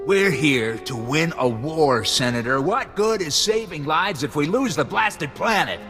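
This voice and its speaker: An actor playing a hard-bitten, vengeful army colonel in a video game, with blunt, forceful phrasing. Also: male voice, on the high side, animated, unhurried. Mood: bitter. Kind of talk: video game cutscene dialogue. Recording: reverberant hall with music.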